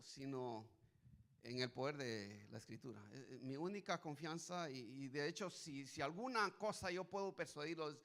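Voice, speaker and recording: A middle-aged pastor from Guatemala preaching as a guest, hesitant with restarts at first, then building to emphatic preaching. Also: male voice, a little high, sharp tone. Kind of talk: sermon.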